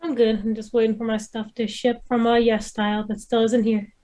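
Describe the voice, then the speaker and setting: Slightly high-voiced woman chatting casually. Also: little pitch movement, brisk, mellow. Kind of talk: casual chat.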